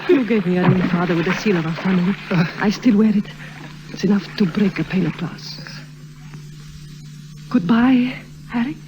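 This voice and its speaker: A woman's voice: mid-range voice, normal speed, pauses often, darkish tone, highs cut off, natural voice. A woman playing a grave, defiant young daughter in a dramatic 1950s radio melodrama. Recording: noisy vintage radio recording.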